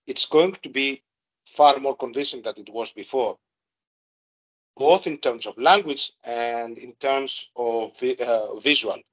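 Greek-accented man, halting, fillers. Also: mid-range voice, fairly bright tone, lo-fi sound. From Greece. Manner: hesitant, many fillers and restarts. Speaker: guest expert. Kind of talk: podcast interview.

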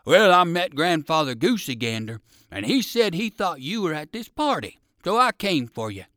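A man's voice: a little high, big pitch swings, normal speed, bright, forceful tone, no echo, studio quality, pitched above the performer's own voice. A man voicing a kind old circus dog soldier in a children's story, hearty, polite and emphatic. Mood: earnest, friendly.

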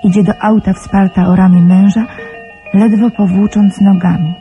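An actress playing a quiet young woman who narrates a letter in a radio drama, gentle and reflective. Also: mid-range voice, fairly even intonation, normal speed, faint music behind, close to an everyday voice. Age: young adult.

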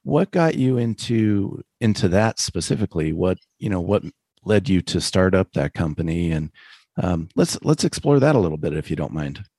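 A man's voice: low-pitched, sing-song, moderate pace, darkish tone, no echo, clean studio sound. A man interviewing a guest in a casual chat, mostly giving brief encouraging interjections.

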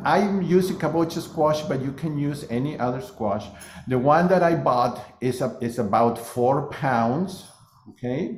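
A man in his fifties, a guest cook demonstrating a recipe in a halting, casual way. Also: medium pitch, darkish tone, roomy sound. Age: 50s. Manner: halting, many fillers and restarts.

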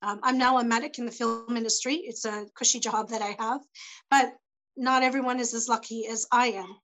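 A woman speaking as a panel guest, giving halting personal testimony with many pauses and restarts as she searches for words, her voice tending to go hoarse. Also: fairly high pitch, fairly bright tone, low-quality recording. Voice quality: voice tends to go hoarse.

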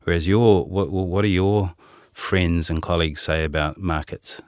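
Deep-voiced older Kiwi man, hesitant. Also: fairly even intonation, measured pace, darkish tone, dry recording, studio quality. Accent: New Zealand. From New Zealand. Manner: hesitant, many fillers and restarts. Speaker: head of asset management. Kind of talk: podcast conversation.

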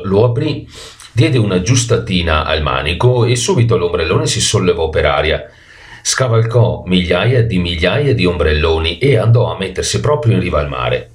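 Deep-voiced man telling a lively fairy tale. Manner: animated, stumbles and corrects words. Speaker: storyteller. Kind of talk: expressive children's story reading.

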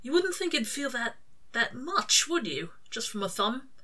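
Male demon played by a woman reader, casual, teasing but soft, clipped questioning delivery, bright tone, audiobook fiction. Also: on the high side, big pitch swings, unhurried, voice pushed up, heavily acted. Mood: intrigued.

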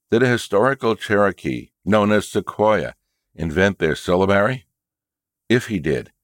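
Very deep-voiced man narrating. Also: no room sound, clean studio sound. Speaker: audiobook and podcast narrator. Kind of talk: scripted narration, reading a book chapter.

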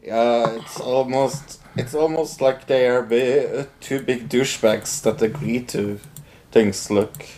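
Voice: medium pitch, fairly even intonation, slow pace, darkish tone, slight background noise. A podcast co-host chatting casually and teasing the others. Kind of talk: casual chat.

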